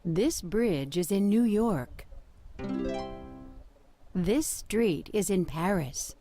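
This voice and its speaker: A woman with an American accent reading short English lesson sentences in a clear, sing-song teaching manner. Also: medium pitch, animated, very slow. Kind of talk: slow scripted lesson reading. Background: harp music.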